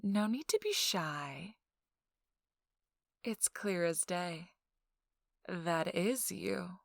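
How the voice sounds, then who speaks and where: Woman voicing a rich young socialite, unhurried, gravelly fry, teasing, sarcastic, intimate ASMR roleplay. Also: lowish voice, expressive, no echo, full character voice. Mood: teasing, smug. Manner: slow, teasing, dry sarcasm, drifting into whispers. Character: feisty young rich socialite, sly, teasing, self-assured.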